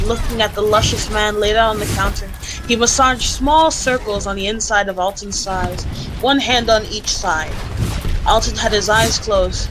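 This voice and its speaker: A woman's voice: mid-range voice, low-quality recording. A woman reading a story aloud in an amused, theatrical way and chatting casually on a voice call. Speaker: friend reading fan fiction aloud in a game call. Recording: voice call with game music behind. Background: video game music.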